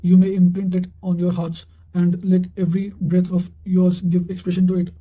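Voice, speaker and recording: A man reading study notes and quotations aloud in a measured way, occasionally stumbling over a word. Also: high voice, completely flat delivery, narrow, tinny audio. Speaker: narrator of study revision notes.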